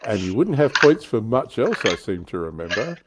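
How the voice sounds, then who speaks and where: A man's voice: on the low side, big pitch swings, normal speed, very noisy. A man hosting a livestream in casual, chatty style, fielding comments from viewers as he goes. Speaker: livestream host. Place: livestream call.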